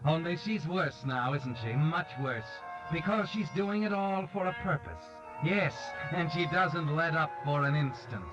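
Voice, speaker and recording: Sinister mystery narrator, fairly high male voice, insinuating, mocking, dull vintage radio sound. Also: animated, unhurried, few pauses. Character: sinister, knowing male storyteller addressing his doomed subject. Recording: dull 1940s radio, music behind.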